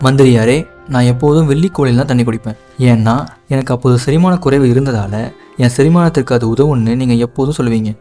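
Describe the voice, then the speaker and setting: A man's voice: mid-range voice, fast talker, dark tone, soft background music, close to an everyday voice. An actor voicing a scheming young male impostor posing as a prince in a cartoon, smug and confident. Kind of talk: cartoon voice acting.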